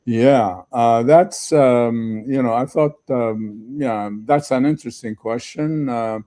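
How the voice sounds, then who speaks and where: An older man from Lebanon, a retired professor speaking as a conference guest, talking slowly with many halting fillers. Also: mid-range voice, warm tone. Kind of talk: conference interview.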